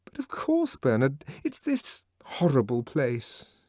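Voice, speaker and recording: A man voicing a bright, fretful young woman. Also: wildly swooping pitch, no room sound, clean studio sound, full character voice. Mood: distressed. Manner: pleading, high and bright, quickly upset. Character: young woman, cheerful, conventional, easily distressed. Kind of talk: audiobook character voice.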